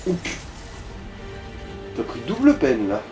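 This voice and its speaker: A man's voice: on the high side, wildly swooping pitch, slow, dark tone, faint music behind. A man chatting casually as he gives directions to a player during a let's play.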